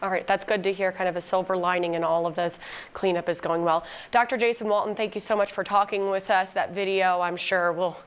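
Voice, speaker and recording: A woman's voice: on the low side, fairly even intonation, fairly quick, clean tone. A woman presenting and interviewing on a live news broadcast in a conversational manner.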